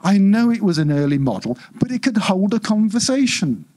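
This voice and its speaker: Man voicing an exasperated, husky home-automation AI. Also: high voice, very animated, normal speed, dark tone. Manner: indignant, emphatic complaining. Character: exasperated, self-important home-automation AI, indignant and fretful. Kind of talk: live short-story reading.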